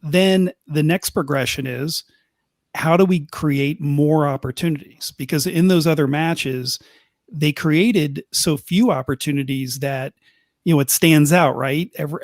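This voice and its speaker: A man co-hosting a soccer podcast, laying out an argument in quick bursts and repeating words as he builds it. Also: on the high side, fairly even intonation, dry recording, clean studio sound. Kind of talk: casual analytical chat.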